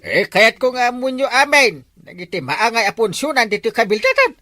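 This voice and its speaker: A woman's voice: fairly high pitch, big pitch swings, moderate pace, bright, forceful tone, no echo. A woman playing a bossy barangay captain. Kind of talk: Ilocano comedy skit acting.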